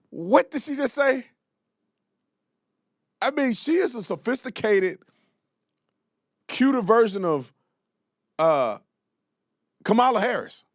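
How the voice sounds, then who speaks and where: Animated mocking male commentary host. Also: high-pitched, dry recording, clean studio sound. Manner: mocking, exclaims loudly, slangy.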